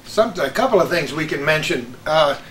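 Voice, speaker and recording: A man's voice: fairly high pitch, animated, moderate pace, a bit bright. An older man hosting a casual group chat. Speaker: host of a local radio reminiscence show. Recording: echoey room with clear background noise.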